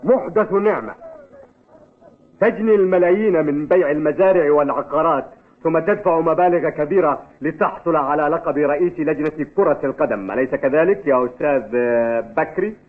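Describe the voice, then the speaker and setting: Man voicing a stubborn, high-pitched football coach. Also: brisk, natural voice. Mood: scornful. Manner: didactic, insistent. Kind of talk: Arabic cartoon dubbing. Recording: tinny, phone-like old TV dub audio.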